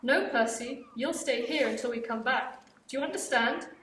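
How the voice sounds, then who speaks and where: Nagging mother, as a schoolgirl plays her, highish voice, off-mic in a roomy space. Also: moderate pace, fairly bright tone, far from the mic, a little hiss or hum, lightly acted. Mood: bossy. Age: teens. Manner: nagging, bossy. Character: bossy fussing mother of a grown son.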